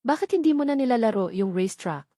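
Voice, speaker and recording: A woman's voice: a little high, expressive, fast talker, warm tone, no room sound, studio quality. A woman voicing a proud rich father in an audiobook, earnest and eager to please his children. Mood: coaxing.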